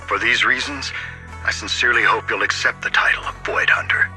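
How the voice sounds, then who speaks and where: A man playing a formal, earnest, dignified mayor in a video game, speaking in measured official phrases over a radio-like call. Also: mid-range voice, fairly even intonation, pushed and bright, soft background music, poor audio. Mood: formal, sincere. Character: earnest dignified male mayor bestowing an honor. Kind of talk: formal scripted game dialogue.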